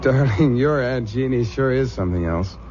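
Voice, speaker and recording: An actor playing a sceptical, affectionate young American groom, wisecracking in slang in an old-time radio mystery. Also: male voice, mid-range voice, expressive, normal speed, darkish tone, lightly acted. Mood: teasing. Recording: dull, noisy old radio drama recording.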